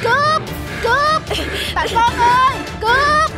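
A woman voicing an earnest, excitable young working woman in an animated cartoon, speaking in an emotive, exclamatory way. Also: extremely high pitch, takes it slowly, a loud crowd. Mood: distressed. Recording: loud music under the dialogue. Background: loud music.

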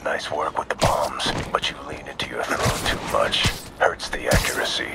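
An actor playing a cold, calculating male mercenary in a video game, speaking in a low raspy whisper with a calm, condescending menace. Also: moderate pace, loud music behind the voice. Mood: menacing calm. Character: cold professional male mercenary taunting a hero. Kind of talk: video game cutscene voice acting.

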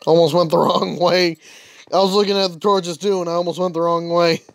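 A man's voice: high-pitched, flat delivery, brisk, creaky, no echo, clean studio sound. A young man casually commenting on his own gameplay, chatty and grumbling, talking to himself as he plays. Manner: grumbling, chatty, talks to himself while playing. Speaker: gaming channel host. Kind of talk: casual let's-play commentary.

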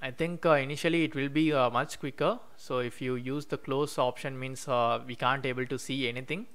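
Male tutorial host with a smooth, clear mid-pitched voice, narrating code at a desk with typing behind. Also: steady tone, fairly bright tone. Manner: explains step by step while typing code, many "uh" pauses. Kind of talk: screen-recorded coding walkthrough. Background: keyboard typing.

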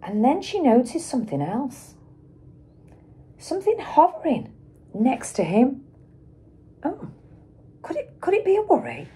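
Slow, very animated woman reading a storybook. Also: high-pitched, breathy edge. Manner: expressive, dramatic emphasis and pauses for young children. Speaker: schoolteacher reading aloud. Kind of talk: children's picture book storytelling.

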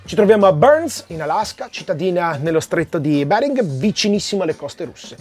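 Guy hosting a comics review, kinda high husky voice, chatty, music behind him. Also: sing-song, fairly quick, a little mellow. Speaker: comic book reviewer, video host. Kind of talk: comic review to camera, conversational. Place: small room with shelves of comics, indoors. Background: background music.

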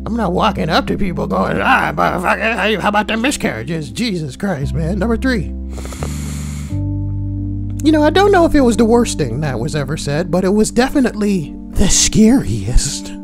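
Woman reading posts out loud, swears n jokes a lot, voice jumps around, guitar music behind. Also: medium pitch, sing-song, normal speed, creaky. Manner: crude, sweary, joking asides. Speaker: online narrator and commentator. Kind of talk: reading forum posts aloud with crude comic commentary.

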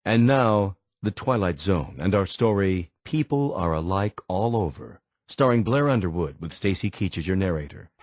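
A man's voice: sits low, animated, normal speed, hardly any pauses, darkish tone, no echo, faint music behind. A man making a formal radio show announcement.

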